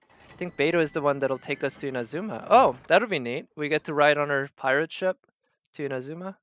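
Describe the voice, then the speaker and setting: A man's voice: on the high side, fairly quick, a bit bright, no room sound, dull audio. A man doing casual live game commentary, thinking aloud and trailing off as he goes. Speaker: game streamer.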